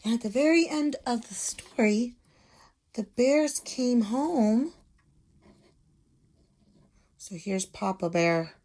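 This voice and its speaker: Woman, teacher, unhurried pace, simple child-directed explanations, casual instructional talk, frequent tag questions. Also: fairly high pitch, expressive, a little mellow. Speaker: teacher presenting a lesson to young children.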